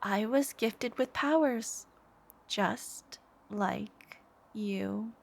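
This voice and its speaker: A woman voicing an obsessive, devoted, soft-spoken supervillain in a scripted ASMR roleplay, intimate and menacing, with phrases trailing into whispers. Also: on the high side, full character voice. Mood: coldly proud. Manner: slow, intimate, breathy sighs and whispered phrase endings.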